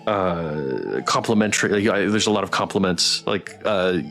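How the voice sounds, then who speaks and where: A man hosting a casual livestream interview, asking questions in a halting, appreciative way. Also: deep voice, expressive, gravelly, a bit bright, faint music behind. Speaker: interviewer and stream host.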